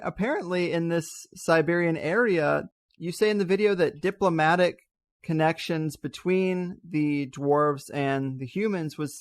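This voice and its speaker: A man's voice: fairly high pitch, vocal fry. A man hosting an interview in a casual, conversational way, putting questions to a guest. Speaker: interview host.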